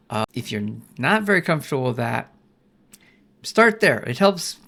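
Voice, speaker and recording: A man's voice: mid-range voice, vocal fry, fairly bright tone. An older man teaching a Bible study lesson, folksy and rambling, restarting phrases and checking in with "right?".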